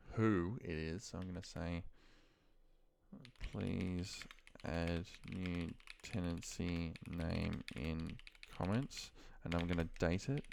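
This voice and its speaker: A man thinking aloud in a muttered, pausing way as he talks through spreadsheet work on his computer. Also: low-pitched, creaky, darkish tone, slight background noise. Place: at a computer desk, indoors.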